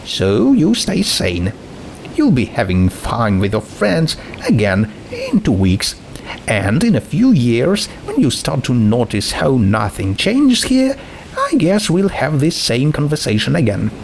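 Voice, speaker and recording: A man voicing a cool, pitiless teenage girl with a condescending, calmly menacing manner in a dramatic story reading. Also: medium pitch, measured pace, gravelly, a little hiss or hum, pitched above the performer's own voice, full character voice, rough recording. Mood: matter-of-fact.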